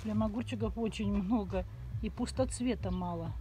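A woman talks casually about her crops while filming outdoors. Her voice is at an ordinary pitch, chatty and homely. The phone recording outdoors has clear background noise. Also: normal speed. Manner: chatty, homely, with loving diminutives. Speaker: vlogger showing her garden. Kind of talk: casual vlog commentary. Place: outdoor rural garden and yard. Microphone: phone camera held while filming.

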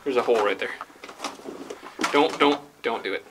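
A man's voice: mid-range voice, moderate pace. A man exploring an abandoned underground mine, talking casually and wryly, cautious and curious. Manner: relaxed, cautious, wry and curious. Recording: noisy mine tunnel recording.

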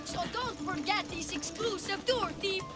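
Very high boyish voice, huckster tour guide, salesy patter, game music underneath. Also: female voice, unhurried. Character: fast-talking young boy huckster tour guide. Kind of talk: video game voice acting.

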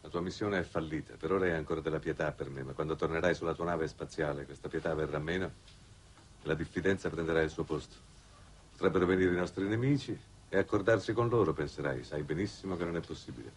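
An actor playing a weary, resigned exile from Earth in a 1960s television drama, speaking in a measured, grave way. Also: male voice, extremely low pitch, slightly rough, heavily acted. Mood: accusing, fatalistic. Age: middle-aged. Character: weary, resigned, fatalistic man exiled on another planet. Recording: noisy old 1960s TV broadcast soundtrack.

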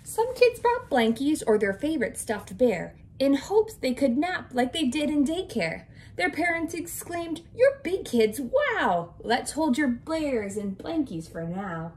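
A woman in her twenties, a kindergarten teacher, reading a picture book aloud to young children in an expressive, sing-song way. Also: high-pitched, unhurried, few pauses. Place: small indoor room with decorated walls.

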